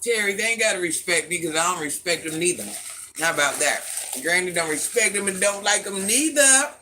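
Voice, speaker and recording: Middle-aged woman w/ a deep smoky voice, sassy n blunt, reading chat live, bright edgy tone. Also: lively intonation, brisk, a little hiss or hum. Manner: blunt, sassy, talks back to commenters. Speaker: livestream host reading chat comments.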